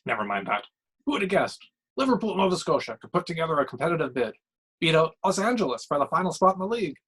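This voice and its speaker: A man performs a blustery former hockey coach in the TV booth for an audio short story. He rants in short, slangy, emphatic sentences, full of strong opinions, with a clearly pushed commentator's delivery. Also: a little high, very animated, quick pace, fairly bright tone, full character voice, poor audio. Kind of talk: acted sports commentary in a short story reading.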